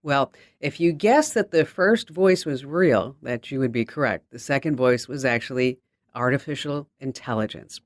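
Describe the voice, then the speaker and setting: A man's voice: mid-range voice, normal speed, no echo, studio quality. A man hosting a show, chatty and enthusiastic as he introduces a guest. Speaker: host.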